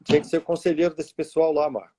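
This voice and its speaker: A man's voice: on the high side, little pitch movement, fast talker, mellow, reverberant. A man with a Brazilian Portuguese accent, a guest on a business livestream, chatting informally with short supportive remarks. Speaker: retail executive, livestream guest.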